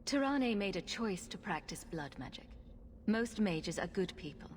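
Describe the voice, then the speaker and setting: A woman's voice: medium pitch, animated, normal speed, slight background noise, lightly acted. A woman playing a resolute adventurer heroine in a fantasy video game, blunt, dry and assertive. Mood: calm, firm.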